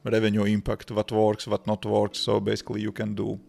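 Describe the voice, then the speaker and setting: A man in his thirties with a Latvian accent, a developer chatting casually on a live stream in simple, halting English with many restarts. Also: on the low side, completely flat delivery, gravelly, a little mellow, soft background music. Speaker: livestreaming developer answering chat.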